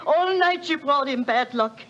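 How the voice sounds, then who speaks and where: An actress playing a grieving, reproachful wife, in 1940s radio acting. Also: female voice, high voice, lively intonation, measured pace, fairly bright tone. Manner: pleading, reproachful. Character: grieving German wife, accusing, middle-aged. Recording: dull old radio broadcast with hiss.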